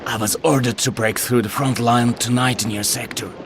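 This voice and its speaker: Artillery and engines sound clearly behind an actor as a Soviet recon captain in a war game. The man speaks at medium pitch, brisk and confident, with an old friend's familiarity. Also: fairly even intonation, natural voice. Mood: matter-of-fact. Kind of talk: dramatic video game cutscene acting. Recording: battle noise behind the dialogue. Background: artillery fire and vehicles.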